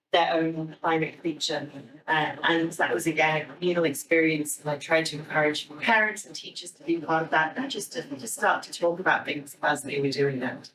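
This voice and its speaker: A woman's voice: low voice, a bit bright. A woman, a theatre director, giving an informal talk to an audience in a hesitant, conversational way. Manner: conversational, hesitant, thinking aloud. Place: echoey room, speaking to an audience. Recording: distant microphone in an echoey room.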